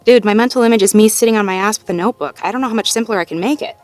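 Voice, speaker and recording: A woman's voice: mid-range voice, rapid-fire, faint music behind, natural voice. A woman playing a sweet, self-doubting, playfully wry girlfriend in an intimate audio roleplay, talking casually and conversationally with a partner. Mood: playfully defensive. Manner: conversational, casual, with sighs and soft chuckles. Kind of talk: intimate slice-of-life audio roleplay acting, one-sided conversation.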